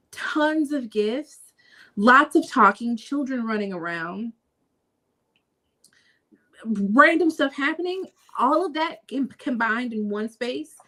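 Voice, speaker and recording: A woman's voice: fairly high pitch, fairly bright tone. A young adult woman co-hosting a podcast in casual chat, talking with lots of fillers and frequent laughter. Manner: chatty, laughs often, many fillers. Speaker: podcast co-host.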